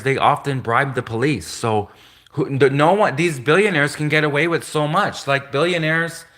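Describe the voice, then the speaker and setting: A man hosts a solo live stream, chatting to viewers in loose, rambling sentences and repeating his points. His voice is soft, with a bright edge, and some listeners hear it as gender-ambiguous. He sits at a middle pitch and sounds earnest. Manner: repetitive, earnest, restates points often; greets viewers and reads comments aloud.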